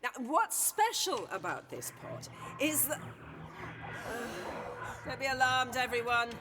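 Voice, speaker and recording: An actress playing a wry, irreverent archaeology professor in an audio drama, brisk, sardonic and flippant. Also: female voice, sits high, big pitch swings, background noise, full character voice. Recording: loud music behind the dialogue.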